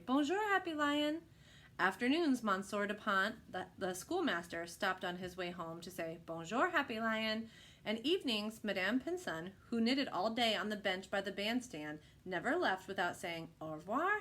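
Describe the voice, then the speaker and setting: A woman reading a children's picture book aloud with expressive narration. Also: medium pitch.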